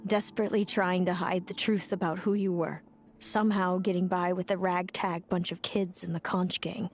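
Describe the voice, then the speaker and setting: A woman's voice: on the low side, level tone, moderate pace, darkish tone, lightly acted. A woman voices a fae older sister from a fantasy game, bitter and tormented. She speaks like someone confessing an old wound, with theatrical weight. Music plays beneath her voice. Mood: regretful confession. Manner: dramatic, wounded, confessional delivery. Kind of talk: video game voice acting. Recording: music under the voice. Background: background music.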